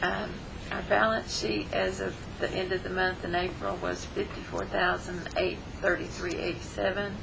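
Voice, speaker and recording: A woman's voice: fairly low pitch, flat delivery, normal speed, creaky, hardly any pauses. A woman with a scratchy voice reading a treasurer's report, matter-of-fact as she lists figures. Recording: noticeable background noise.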